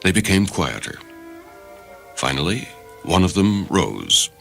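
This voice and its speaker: A man's voice: deep voice, very animated, slow pace, gravelly, a bit bright. A man reading a literary short story as narrator, with dramatic scripted delivery. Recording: faint music behind the narration.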